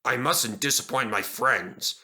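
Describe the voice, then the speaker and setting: A man's voice: mid-range voice, a little slow, bright, forceful tone. A man voicing a proud, grumpy old male steam engine with a stuffy, indignant, dignified way of talking.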